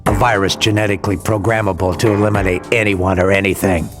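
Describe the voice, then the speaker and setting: Game role: authoritative male spymaster, man acting, cold and formal, low register, measured briefings over music. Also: flat delivery, close to an everyday voice. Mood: grave, measured. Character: cold, authoritative male intelligence agency director giving briefings. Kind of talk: video game cutscene briefing. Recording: game audio with music underneath.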